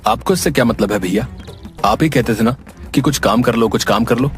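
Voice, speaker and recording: Cartoon moral tale: male actor as a defiant, proud younger brother, quick to accuse, faint music underneath. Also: medium pitch, lightly acted. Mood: angry, resentful. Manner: accusing, indignant. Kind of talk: Hindi animated moral story dialogue.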